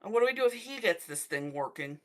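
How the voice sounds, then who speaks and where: Woman rpg player, mid pitch, deliberate, talking in character. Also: expressive. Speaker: tabletop game player. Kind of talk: in-character roleplay and game talk.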